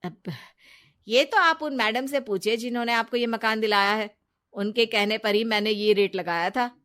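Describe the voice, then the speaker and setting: A woman's voice: a little high, moderate pace, fairly bright tone, clear, resonant tone, lightly acted. A woman voicing a defensive, brusque male property dealer in a moral story.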